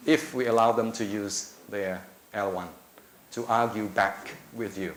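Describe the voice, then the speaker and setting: A man's voice: fairly low pitch, fairly even intonation, fairly bright tone. Middle-aged Indonesian man lecturing on a handheld mic. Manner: conversational, with many filler pauses. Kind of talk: conference talk. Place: lecture room. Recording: echoey room with faint noise.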